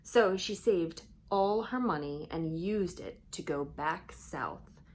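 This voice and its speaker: A woman reading a children's storybook aloud expressively, pausing for conversational asides and questions to young listeners. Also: on the low side, darkish tone.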